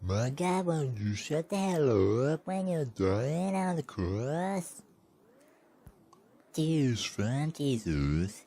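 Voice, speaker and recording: A man voicing an irreverent comic oddball with a husky voice, crooning in an absurd, drawn-out way. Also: medium pitch, sing-song, warm tone, heavily acted.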